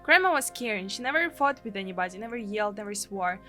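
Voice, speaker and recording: A woman's voice: mid-range voice, expressive, fast, pushed and bright, soft background music. A woman from Russia with a Russian accent, a gaming streamer expressively reading a translated visual novel aloud. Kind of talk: let's play, reading a live translation aloud.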